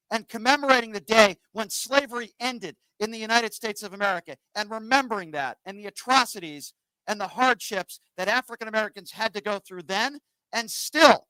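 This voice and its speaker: A man's voice: sits high, bright, forceful tone, clean tone, no room sound, low-quality recording. A man hosting a political call-in radio show, combative and sarcastic, cutting across callers.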